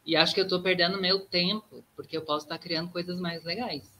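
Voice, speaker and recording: A woman's voice: on the low side, fairly even intonation, brisk, pushed and bright, slight background noise. A woman in her thirties from southern Brazil with a Gaúcho accent, a guest artist talking reflectively on a video call from a room at home, often ending thoughts with tag questions. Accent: Gaúcho Brazilian Portuguese. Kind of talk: casual interview talk. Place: home room with plants, indoors.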